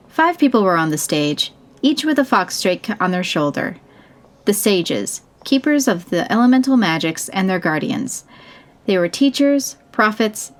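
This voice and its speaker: A woman's voice: medium pitch, a little mellow. A woman reading scripted narration for a fantasy audio story.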